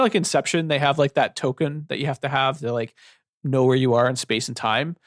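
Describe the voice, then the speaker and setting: Young man, relaxed book-talk host; close dry mic, loose rambling delivery. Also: medium pitch, dry recording. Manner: relaxed, rambling with many fillers. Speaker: book club podcast co-host. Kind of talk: casual book discussion.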